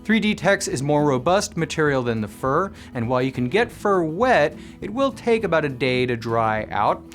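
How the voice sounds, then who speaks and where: A man with a slightly high voice narrates a scripted gear review. He enunciates clearly and explains each point like a presenter, over a bed of music with guitar. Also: big pitch swings, moderate pace, hardly any pauses. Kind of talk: scripted product review narration with live demo commentary.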